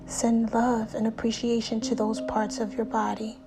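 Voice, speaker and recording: A woman narrating a guided meditation in a soothing, unhurried way with deliberate pauses and soft, breathy phrase endings. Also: a little high, monotone. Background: music.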